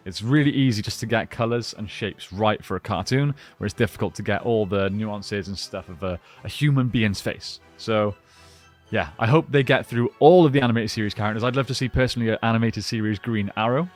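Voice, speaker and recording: Casual man reviewing a collectible, low-key music behind. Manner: informal, thinking aloud. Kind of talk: casual unboxing and review chat.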